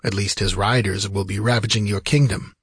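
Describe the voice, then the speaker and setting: A man's voice: lowish voice, fairly even intonation, fairly quick, fairly bright tone, husky, no echo, clean studio sound. A man voicing a sly, world-weary, pleasure-loving sorcerer with an eloquent, theatrical manner in a fantasy audiobook. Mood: knowing, explaining.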